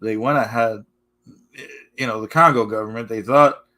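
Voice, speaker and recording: A man hosting a casual live stream, halting and repetitive, losing his voice, forever addressing "folks". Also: medium pitch, bright, forceful tone. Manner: repetitive, keeps saying "folks" and "okay"; halting, restarts often.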